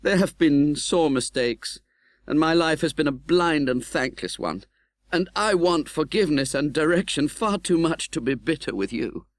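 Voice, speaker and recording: A British man playing an earnest, tender-hearted gentleman who recounts his own life in a dramatic, measured audiobook narration. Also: on the high side, expressive, dry recording, lightly acted. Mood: earnest, grave. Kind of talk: dramatic literary audiobook narration in first person.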